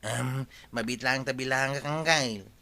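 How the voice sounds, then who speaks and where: A man playing a cheeky, teasing village tricycle driver in a comedy radio drama, pushy and quick to chuckle. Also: medium pitch, creaky, pushed and bright. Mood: cheeky, insistent. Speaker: actor in an Ilocano comedy drama.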